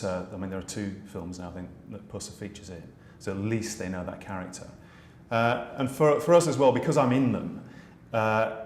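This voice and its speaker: A middle-aged British man who writes, directs and plays the dame in pantomime, talking in a relaxed interview with many restarts and fillers. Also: lowish voice. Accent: British English. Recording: echoey room with faint noise.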